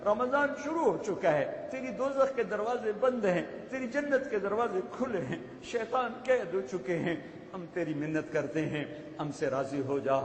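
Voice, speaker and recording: A man's voice: sits high, slight background noise. A man leading a fervent, pleading Ramadan supplication in a mosque, repeating his invocations. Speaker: prayer leader. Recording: echoey hall with faint ambience.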